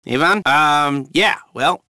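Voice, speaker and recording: An actor playing a wisecracking, nervous heist hacker in a video game, excitable and sarcastic as he gives mission orders. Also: on the high side, slow pace, no room sound, studio quality, heavily acted. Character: wisecracking, nervous, fast-talking male heist hacker handler. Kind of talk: video game voice acting, radio-style mission instructions.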